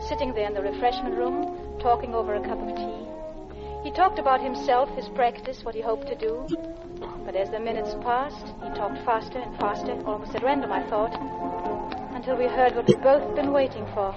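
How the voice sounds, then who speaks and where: A woman's voice: a little high, moderate pace, a loud crowd, close to an everyday voice. Anxious married woman in vintage radio drama played by an actress. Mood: wistful, confessional. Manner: polite, hesitant, confessional. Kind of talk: classic radio drama acting, with intimate narration. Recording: dull old radio recording with music.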